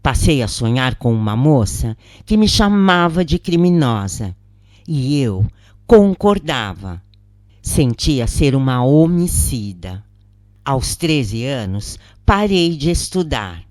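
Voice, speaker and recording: A woman with a Brazilian accent reading a first-person account as a measured, expressive audiobook narrator. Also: very deep voice, no room sound, clean studio sound.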